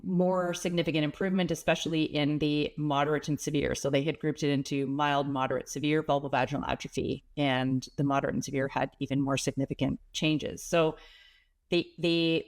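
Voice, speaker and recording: A woman's voice: low-pitched, steady tone, a little fast. Woman with a stuffy cold, explaining. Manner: practical, explanatory, plain-spoken about the body. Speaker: pelvic health expert guest. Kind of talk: conversational interview explanation.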